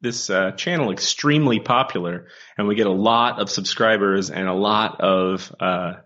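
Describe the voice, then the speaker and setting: A man's voice: lowish voice, normal speed, fairly bright tone. A man chatting casually as a co-host, joking and rambling, on a dull lo-fi recording. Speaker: co-host, comic book writer. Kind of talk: casual chat between co-hosts.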